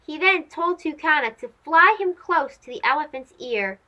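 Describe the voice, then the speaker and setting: A woman narrating a children's story as a read-aloud host, expressive, dramatic and clearly phrased. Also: high-pitched, normal speed, dull audio.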